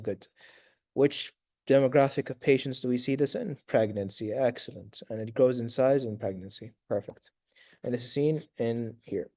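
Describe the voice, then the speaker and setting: A man lecturing medical students in a raspy voice, quizzing them and praising answers, with Arabic fillers between points. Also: medium pitch, dark tone, dry recording, clean studio sound. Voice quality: raspy from long talking. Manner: sprinkles Arabic fillers like tamam, inshallah.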